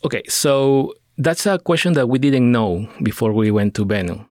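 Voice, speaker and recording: A man's voice: medium pitch, lively intonation, normal speed, a little mellow. A middle-aged man from Peru, talking as a scientist guest on a podcast, with many fillers and restarts. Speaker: astrochemist guest. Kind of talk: podcast interview.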